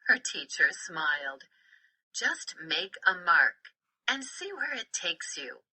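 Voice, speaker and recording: A woman narrates a children's story with a very bright, crisp-edged tone. Her pitch sits a little low for a woman, and she reads slowly and deliberately, with expression. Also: big pitch swings, rough recording. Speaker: storybook reader.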